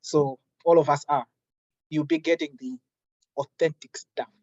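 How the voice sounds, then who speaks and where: A young man from Malawi leading a workshop, introducing himself in a relaxed, chatty way. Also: fairly high pitch, a little slow, creaky, no echo, lo-fi sound. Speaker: workshop facilitator. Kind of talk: friendly classroom introduction.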